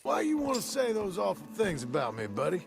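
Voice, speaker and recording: A rough American outlaw in a game cutscene. The voice is gravelly and fairly high for a man, swinging widely in pitch. He drawls with folksy, mock-polite menace over music. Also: wildly swooping pitch, fairly quick, exaggerated voice. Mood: sardonic, calm. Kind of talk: video game cutscene acting.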